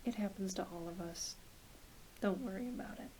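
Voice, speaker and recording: A soft-spoken woman, often dropping into a whisper, gently playing a reassuring doctor in an ASMR roleplay. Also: mid-range voice, normal speed. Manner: gentle, reassuring, hesitant questioning. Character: kindly, attentive doctor examining a patient. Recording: hissy close home recording.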